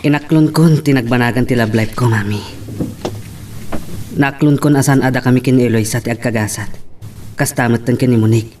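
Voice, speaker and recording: Man acting earnest Ilocano son, mid-pitched. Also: steady tone, fairly quick, long pauses, darkish tone, a little hiss or hum, natural voice. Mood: reassuring, resigned. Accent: Ilocano Filipino. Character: reassuring, earnest adult son running family business. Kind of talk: radio drama acting.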